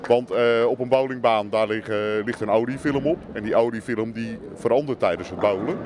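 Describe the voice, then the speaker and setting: A middle-aged man explains things calmly and plainly in a mid-range voice. Steady noise sits behind him, since he is recorded inside a bowling centre. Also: mid-range voice, a little fast. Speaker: bowling club member interviewed. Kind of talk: casual interview answers. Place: bowling centre bar, indoors. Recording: noisy background.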